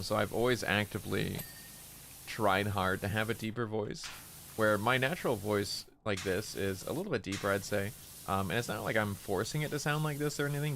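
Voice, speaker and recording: Gravelly low-voiced young man rambling. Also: a bit bright, slight background noise. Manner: rambling, hesitant, self-reflective with many fillers; consciously lowers his voice. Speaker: VTuber streamer. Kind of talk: casual stream chat while gaming.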